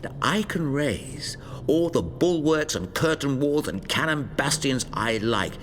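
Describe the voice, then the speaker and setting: An actor playing a stoic, weary warlord defender in an audio drama, formal, eloquent and grave. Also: male voice, mid-range voice, animated, unhurried, a little hiss or hum, natural voice. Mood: resolute, puzzled.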